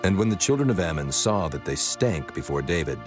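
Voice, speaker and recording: A man narrating scripture from a script in a solemn, measured manner. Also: low-pitched, big pitch swings. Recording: music bed under the voice.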